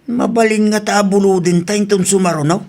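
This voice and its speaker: A man's voice: high voice, steady tone, fairly quick, darkish tone. A man with a Filipino Ilocano accent playing a poor, mild old scrap collector in a radio comedy-drama. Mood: resigned.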